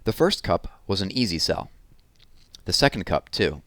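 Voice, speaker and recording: Male read-aloud narrator: deep register, dry sound, expressive delivery, deliberate stress on dramatic words. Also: dry recording.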